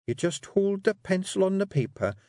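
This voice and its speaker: Man voicing a female pixie chief, coaxing, sly, high lilting voice, dry close sound. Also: big pitch swings, moderate pace, dark tone, dry recording. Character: sharp clever female pixie clan matriarch. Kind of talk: audiobook character voice.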